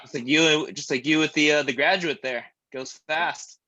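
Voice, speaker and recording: A man in his thirties leading a stretch class, joking between calm breathing cues. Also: on the high side, moderate pace, dull audio. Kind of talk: guided stretching class with casual chat.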